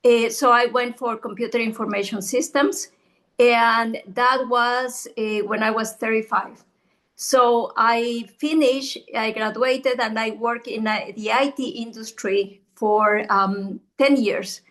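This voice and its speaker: Middle-aged Ecuadorian woman, Spanish native, earnest storyteller with a fairly high voice. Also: steady tone, takes it slowly, fairly bright tone. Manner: earnest, storytelling, many restarts. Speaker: guest realtor. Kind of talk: casual interview chat.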